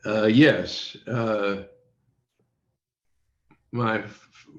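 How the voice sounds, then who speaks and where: An older man with a lowish voice recalls the past at a very unhurried, halting pace. He often stops mid-sentence as he searches through memories. The sound is dull and echoes around the room, as on a video call. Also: steady tone. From United States, Midwest. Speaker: interview guest recalling radio childhood. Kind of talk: unscripted reminiscence in an interview.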